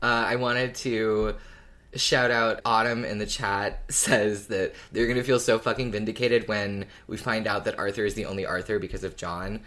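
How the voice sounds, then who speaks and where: An expressive podcast host in casual fan conversation, with a voice in the middle of the range. The delivery is emphatic and informal. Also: medium pitch, normal speed.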